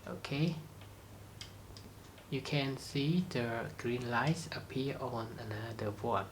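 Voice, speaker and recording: A tutorial presenter speaks slowly at a medium pitch, in short, halting phrases with non-native grammar. The delivery is a step-by-step product demonstration, with some steady noise behind the voice.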